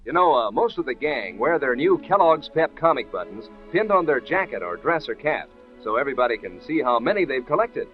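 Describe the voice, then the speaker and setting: A man as a 1940s radio announcer, giving a folksy, enthusiastic sales pitch to children. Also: very animated, a little fast, hardly any pauses. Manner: chummy, folksy, enthusiastic selling. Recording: dull old radio, music behind.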